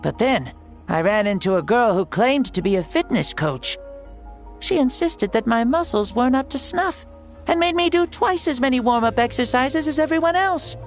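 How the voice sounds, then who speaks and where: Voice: medium pitch, expressive, moderate pace, lightly acted. An actor voicing a jaunty, grandiose ancient fire-god spirit in a boy's body for a video game, playful and theatrical with old-fashioned turns of phrase. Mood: jaunty, chatty. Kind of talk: video game character acting. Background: music under the voice.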